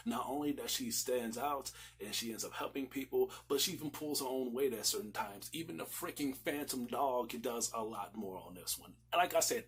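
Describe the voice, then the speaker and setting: A man's voice: mid-range voice, normal speed, few pauses, slightly rough. A man reviewing a series in a casual, sweary, emphatic way, rambling and repeating himself for stress. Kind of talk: casual, profanity-laced review commentary.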